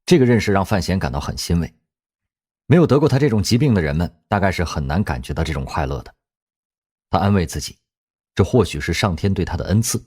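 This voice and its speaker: A man narrating an audio drama in a wry, expressive storytelling manner. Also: sits low, fast, slightly rough. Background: faint background music.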